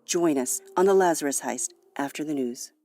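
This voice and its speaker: A woman podcast host reading a scripted promo in a clear, inviting way. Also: low-pitched, normal speed, warm tone.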